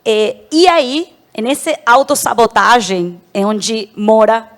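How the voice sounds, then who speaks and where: A woman's voice: a little high, slow. A woman with a Venezuelan accent, answering reflectively as a panelist in a discussion. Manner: reflective, personal.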